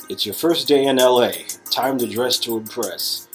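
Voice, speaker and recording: A man's voice: mid-range voice, slow, faint music behind. A man narrating an interactive story in a playful way, with casual asides.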